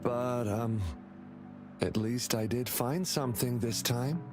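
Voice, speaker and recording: Loud music plays under a man acting a devoted young older brother in a video game. He sounds anxious and earnest, with a mid-pitched voice. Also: takes it slowly, close to an everyday voice. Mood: reassuring.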